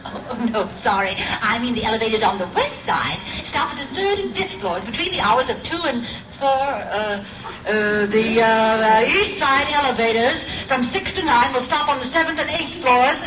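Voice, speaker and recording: An echoey, very noisy old recording carries an actress playing a department store announcer. Her voice is high and formal, with a scatterbrained comic edge from classic radio. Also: animated, moderate pace, muffled recording, close to an everyday voice. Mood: flustered. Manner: formal announcing that gets muddled. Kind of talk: 1940s radio comedy acting.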